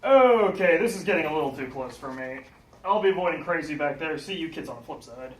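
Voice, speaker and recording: A man's voice: on the high side, expressive, fairly quick, far from the mic, a crowd in the background, natural voice. A man acting as an easygoing old school friend who teases the others. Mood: wary. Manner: easygoing, teasing. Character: laid-back teasing old school buddy. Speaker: friend in a store line. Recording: echoey room, off-mic, faint ambience.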